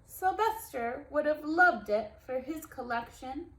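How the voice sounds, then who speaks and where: A woman's voice: sits high, expressive, measured pace, fairly bright tone, echoey room, exaggerated voice, poor audio. Woman reading as an earnest, grieving donkey dad. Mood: amazed, fond. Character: grieving donkey father, trying to stay cheerful.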